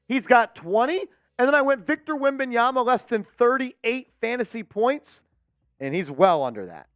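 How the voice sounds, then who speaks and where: A man hosting a live sports watch-party stream, chatting with viewers, reading the chat and calling plays excitedly. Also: very high voice, moderate pace.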